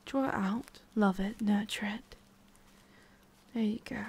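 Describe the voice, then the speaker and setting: A woman playing a lonely, guarded immortal witch in an intimate ASMR roleplay, speaking softly and often dropping to a whisper. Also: medium pitch, takes it slowly, husky, full character voice. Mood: guarded, gentle.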